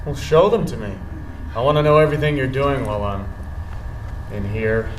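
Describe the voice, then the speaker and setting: An actor as an earnest young working-class boyfriend with wounded pride, in a live stage play. He is plain-spoken and intense, in a medium-pitched voice. The sound is roomy and picked up from far off, with faint noise. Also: normal speed, natural voice. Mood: teasing, skeptical. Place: indoor theatre stage, far from microphone. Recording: distant, echoey theatre recording with faint noise.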